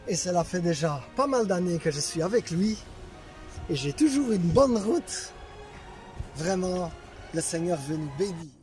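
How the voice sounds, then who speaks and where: High-voiced man sharing testimony over music. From Belgium. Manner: expressive, conversational storytelling with rhetorical repetition. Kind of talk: testimony told to camera with acted-out moments.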